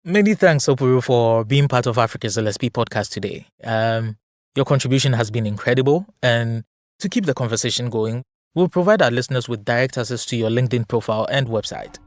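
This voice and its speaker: A man hosting a podcast, asking measured questions and thanking his guest politely. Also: medium pitch, animated, dry recording, clean studio sound. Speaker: podcast host. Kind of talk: interview hosting.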